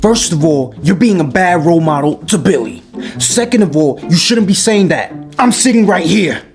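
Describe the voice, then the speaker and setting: An exasperated parent played by a woman scolds with theatrical flair in a family comedy skit. The voice is gravelly and very animated in pitch, and music plays behind it. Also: moderate pace. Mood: indignant. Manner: dramatic, scolding. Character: exasperated, scolding parent arguing with a bratty kid.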